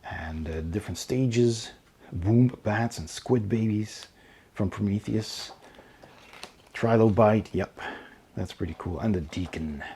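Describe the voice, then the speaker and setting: A man giving casual unboxing commentary as host of a tabletop game channel, in an unhurried show-and-tell way with pauses. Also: lowish voice, mellow, a little hiss or hum.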